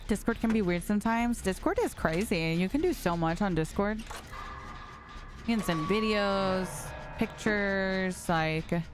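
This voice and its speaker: A woman's voice: mid-range voice, expressive, slow pace. A woman in her late twenties chatting casually with her viewers while she plays a game, reading their messages aloud and answering them. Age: 20s. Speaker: streamer. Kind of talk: casual live-stream chat while gaming. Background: background music.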